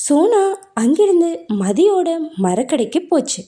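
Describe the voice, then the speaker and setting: Casting: female children's story narrator, high and animated, with a very soft, warm tone. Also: unhurried.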